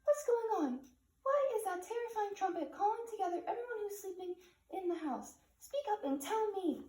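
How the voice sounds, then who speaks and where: A shrill lady of the manor acted by a woman speaks in a puppet play. Her voice is theatrical and pitched very high, with roomy echo. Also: animated, moderate pace, dark tone. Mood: alarmed. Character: shocked noble lady of the house in a puppet play. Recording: roomy, echoing room sound.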